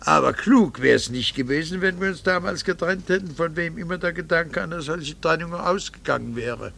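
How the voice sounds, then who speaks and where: An actor playing an embittered, coldly vengeful middle-aged husband in a radio play, sarcastic, theatrical and precisely articulated. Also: male voice, fairly high pitch, normal speed, gravelly, pushed and bright, a little hiss or hum. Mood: coldly triumphant. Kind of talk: German radio play acting.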